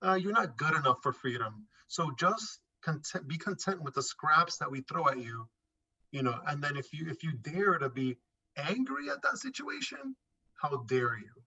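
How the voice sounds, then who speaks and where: A man's voice: fairly high pitch, big pitch swings, moderate pace, sharp tone, slightly rough, rough recording. A middle-aged man from Puerto Rico and New York City in a casual interview, speaking emphatically and repeating phrases for stress. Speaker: interview guest.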